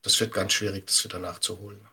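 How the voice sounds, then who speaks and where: A man's voice: low-pitched, little pitch movement, quick pace, sharp tone, slightly rough. A middle-aged man giving conversational, emphatic answers as an expert guest in an interview, explaining things with everyday examples. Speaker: media education expert guest.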